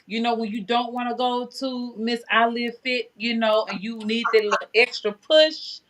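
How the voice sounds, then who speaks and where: A woman's voice: fairly high pitch, fairly even intonation, moderate pace, noisy background. A woman in her forties, a guest promoting her business in casual chat, speaking over a phone video call. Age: 40s. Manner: chatty, promotional, repeats "you know". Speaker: guest, aesthetics business owner.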